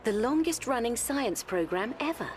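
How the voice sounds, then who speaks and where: A woman's voice: a little high, expressive, moderate pace, noisy background, lightly acted. An actress playing a tender, reassuring female companion in a radio drama. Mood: tender, soothing.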